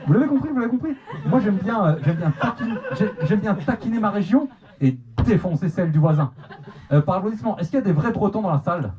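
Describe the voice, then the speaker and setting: Jeune humoriste normand moqueur. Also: male voice, sits high, animated, quick pace, crowd noise. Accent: Manche Normandy French. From Manche, Normandy, France. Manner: teasing, self-mocking, stammering restarts. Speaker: stand-up comedian. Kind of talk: stand-up comedy set. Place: comedy club stage. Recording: dull lo-fi club recording, noisy. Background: audience laughter.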